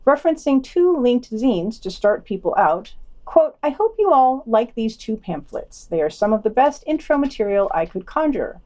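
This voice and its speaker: A woman's voice: fairly high pitch, big pitch swings, moderate pace, a little mellow. A woman reading scripted podcast news and adding her own commentary.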